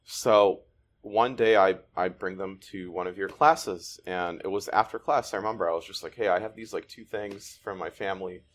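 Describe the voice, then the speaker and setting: A man born in Ukraine whose first language is Russian, a guest telling his family history in a thoughtful, halting way. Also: sits low. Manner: thoughtful, restarts often, halting. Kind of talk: conversational interview.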